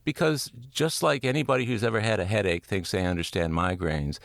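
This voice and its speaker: An older man hosting a reflective interview, speaking in a measured, sympathetic way. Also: fairly low pitch, vocal fry, fairly bright tone, no echo, studio quality. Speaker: interviewer, podcast host.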